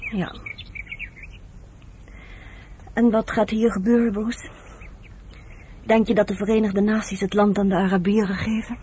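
A woman plays an earnest, conscientious carer in a radio drama. Her mid-pitched voice is hesitant and full of feeling. Faint noise and birdsong lie behind her. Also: flat delivery, moderate pace, mellow, close to an everyday voice. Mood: troubled, anxious. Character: earnest, conscientious woman caring for camp survivors. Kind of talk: Dutch radio drama acting.

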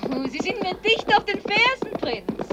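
An actress in a German radio play voicing a proud, ironic young heiress with a formal, teasing manner. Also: female voice, very high voice, very animated, unhurried, very noisy, exaggerated voice. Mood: exhilarated, teasing. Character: proud, mocking young millionaire's daughter who rides fast.

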